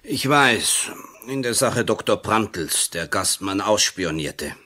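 A man's voice: on the low side, expressive, slow pace, sharp tone, full character voice. A man playing a vain, prickly writer in a radio play, curt and sardonic in manner. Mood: mocking. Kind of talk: 1980s radio play acting.

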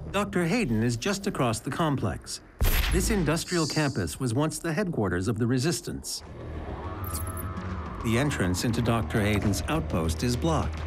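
Formal AI guide over loud music played by a man. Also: mid-range voice, natural voice. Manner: formal, clipped, procedural announcements. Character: calm male artificial intelligence guiding a soldier. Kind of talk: scripted game mission guidance.

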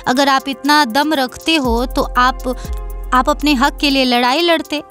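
A woman's voice: sits high, steady tone, unhurried, pushed and bright. A woman reading scripted news narration as a presenter. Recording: music playing behind. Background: background music.

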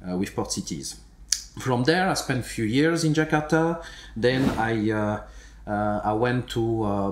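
A man in his thirties, from Ukraine and raised in Belgium, telling his career story in an interview with many fillers and restarts. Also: lowish voice. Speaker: guest, company director. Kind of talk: conversational interview. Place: studio room with sofa. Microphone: desk microphone. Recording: roomy echo.

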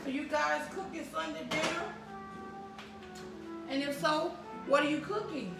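A woman's voice: high voice, fairly even intonation, measured pace, gravelly. A woman, chatting casually with viewers in her home kitchen, filmed by a camera on the counter. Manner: chatty, folksy, talks to viewers as y'all. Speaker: cooking vlogger. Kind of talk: casual cooking vlog talk to viewers. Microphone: camera on the counter, moved around. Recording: echoey kitchen with background music.

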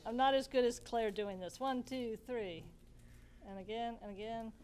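A woman, heard from a distance in a meeting room, gives brisk, cheerful instructions for a group photo. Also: on the high side, a little mellow. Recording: far from the mic in a meeting room.

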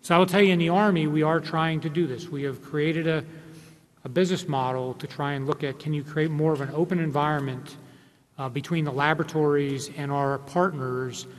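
A man's voice: a little high, fairly even intonation, fairly quick, slight background noise. Earnest man speaking in a reverberant hall. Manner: earnest, explanatory, with frequent restarts. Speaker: panelist. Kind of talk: panel discussion remarks. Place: large conference hall, on stage at a panel table. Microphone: table microphone. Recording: long reverb of a large hall.

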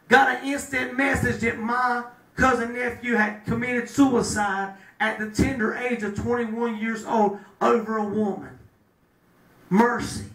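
Male pastor, middle-aged, fiery sermon style; recorded from far off in an echoey room. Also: very high-pitched. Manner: preaching with rising shouts and call-and-response. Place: small chapel room with a pulpit. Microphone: handheld mic, filmed by a far camera.

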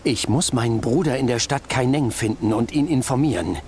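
A man's voice: mid-range voice, fairly quick, darkish tone, a little hiss or hum, natural voice. A man playing a grave, authoritative mentor in a game cutscene, earnest and commanding. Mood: grave, urgent. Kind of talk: dramatic game cutscene acting. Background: game music.

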